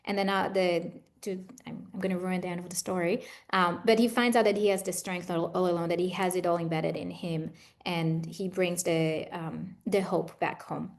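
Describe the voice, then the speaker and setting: A woman's voice: lowish voice, fairly even intonation, moderate pace. Israeli-accented woman explaining in conversation. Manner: explanatory, advising. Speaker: guest expert, trauma therapist. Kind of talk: conversational interview answers.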